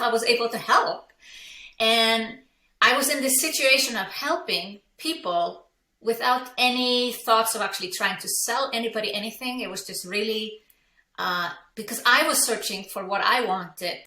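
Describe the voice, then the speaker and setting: A woman's voice: medium pitch, sharp tone, reverberant. A woman from Iceland, a business coach and entrepreneur, telling her story candidly in an interview, with frequent fillers and restarts.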